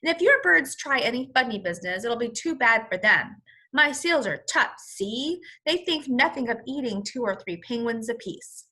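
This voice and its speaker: A woman's voice: a little high, expressive, moderate pace, sharp tone. A woman voicing a large, boastful, menacing male seal trainer in a children's storybook read-aloud. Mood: boastful, threatening. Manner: brash, menacing.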